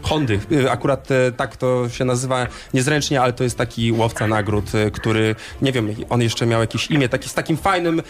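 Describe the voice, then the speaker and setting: A man hosting a radio show in casual, chatty talk, with hesitant pauses as he goes. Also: mid-range voice, soft background music. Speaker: radio host.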